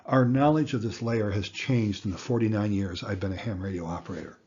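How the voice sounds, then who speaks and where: A man in his sixties giving an educational lecture in plain words, with a deliberate, folksy manner. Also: fairly low pitch, a little mellow, husky, dull audio. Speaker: instructor.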